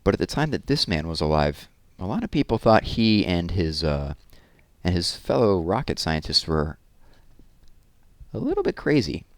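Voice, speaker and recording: Deep-voiced man reading aloud, very animated. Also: no echo. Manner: animated, deliberate storyteller delivery. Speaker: read-aloud host. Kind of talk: expressive children's picture book read-aloud with informal asides.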